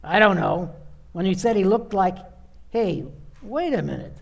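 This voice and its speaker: A man plays a cocksure patrolman from old radio comedy. His voice is high with a gravelly creak, and he talks in blunt, brusque slang. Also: animated, unhurried, heavily acted. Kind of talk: old-time radio play acting.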